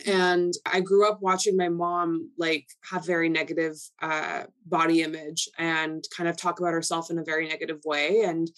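A woman's voice: low-pitched, little pitch movement, normal speed, few pauses, fairly bright tone. A young woman from Canada whose first language is Polish, talking candidly as a podcast guest with many fillers. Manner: chatty, filler-heavy, candid. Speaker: guest influencer. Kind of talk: casual podcast interview.

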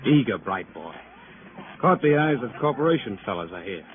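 An actor playing a talkative, wry and weary police sergeant in a 1950s radio crime drama, speaking in short colloquial sentences. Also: male voice, medium pitch, very animated, moderate pace, natural voice. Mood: chatty, streetwise. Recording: noisy, narrow-band vintage radio recording.

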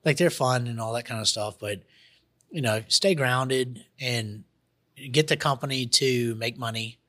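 Halting Southern man, bright voice. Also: medium pitch. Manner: halting, many restarts and fillers. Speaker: guest, business owner. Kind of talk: casual interview chat.

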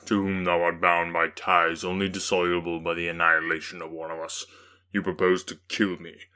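A bitter, menacing creature from a gothic storybook speaks slowly and low, his deep voice sinking into hushed, whispery stretches as a man puts him on. There is a hard, bright edge to his words, half pleading and half threatening. He sounds heavy and full of anguish. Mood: bitter menace. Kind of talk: dramatic audiobook reading.

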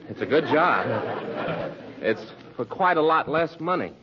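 An American actor playing a bashful, agreeable and modest young suitor, hesitant, in a 1940s radio comedy. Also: male voice, a little high, very animated, a little slow, music in the background, a crowd in the background. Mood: earnest, shy. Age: young adult. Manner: hesitant, giggly, aw-shucks. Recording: muffled, noisy old radio recording, audience.

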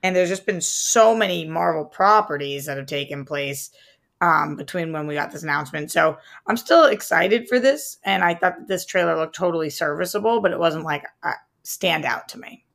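Casting: young female podcast co-host, lowish gravelly voice, measured and thoughtful, casual. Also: fairly bright tone. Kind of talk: casual pop-culture chat.

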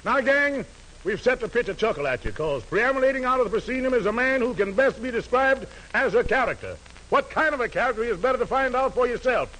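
Very high-pitched 1940s radio emcee, man. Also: expressive, fast talker, pushed and bright. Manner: florid, alliterative showman patter. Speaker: radio show host and announcer. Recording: noisy old 1940s radio recording.

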